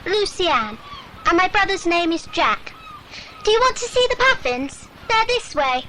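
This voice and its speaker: A woman's voice: very high voice, big pitch swings, moderate pace, sharp tone, slight background noise, exaggerated voice, low-quality recording. A woman playing an anxious, sweet little British girl, timid and questioning, in a children's audio drama. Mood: curious, friendly.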